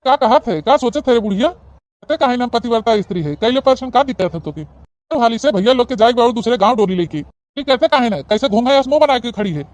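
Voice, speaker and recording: A woman's voice: a little high, lively intonation, quick pace, a little mellow, lightly acted. A woman voicing a bossy, impatient old father-in-law in an Awadhi comedy cartoon, scolding and blustery, with an Awadhi accent.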